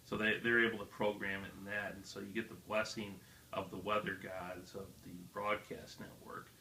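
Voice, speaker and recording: A man's voice: lowish voice, little pitch movement, normal speed, bright, forceful tone. An American man co-hosting in casual chat, telling stories in a wry, mock-solemn way. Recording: roomy echo, background noise.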